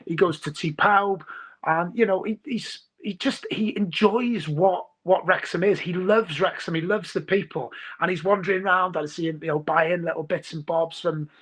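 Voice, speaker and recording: A man's voice: high voice, normal speed, a bit bright. A man from North Wales with a North Welsh accent, a Welsh speaker, chatting casually on a podcast and rambling with many restarts. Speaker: podcast guest. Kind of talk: casual chat.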